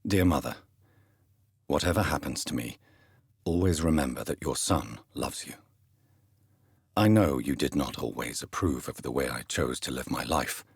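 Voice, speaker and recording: A grave physician turned vampire in a period video game. His voice sits very low and has a husky edge. He speaks in formal, articulate phrases with expressive rises and falls, earnest and courteous. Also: normal speed, pauses often, no echo, studio quality, exaggerated voice. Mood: tender, sorrowful. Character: grave, courteous male doctor turned vampire, 1910s London. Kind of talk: dramatic video game dialogue.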